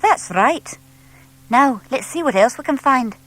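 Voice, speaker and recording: Woman playing a young lady, friendly, patient, animated melody, explaining to a little one, storybook. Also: sits high, a little slow, voice pushed up, full character voice, rough recording. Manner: animated, explaining gently to a small child. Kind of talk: children's audiobook character voice.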